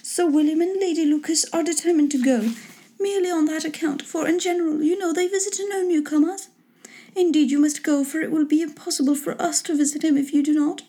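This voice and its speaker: A woman voicing a fussy, excitable middle-aged wife and mother in a storybook reading, gushing, pleading and impatient. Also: high-pitched, a little fast, pitched above the performer's own voice. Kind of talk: storybook character voice in an audiobook reading.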